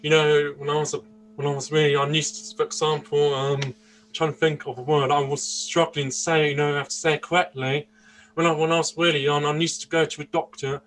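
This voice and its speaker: A man's voice: fairly high pitch, level tone, a little fast, a bit bright, rough recording. A young man in his twenties from Austria, answering interview questions earnestly, often restarting and searching for words. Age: 20s. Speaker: interview guest. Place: indoors, seated interview.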